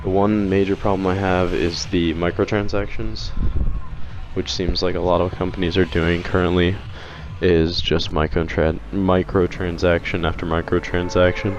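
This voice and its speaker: A young man with a hoarse voice from being sick, rambling through a casual opinion vlog with frequent restarts. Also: low voice, flat delivery, normal speed, lo-fi sound, background noise. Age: young adult. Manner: rambling, hesitant, many restarts. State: hoarse from illness. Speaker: video game commentator.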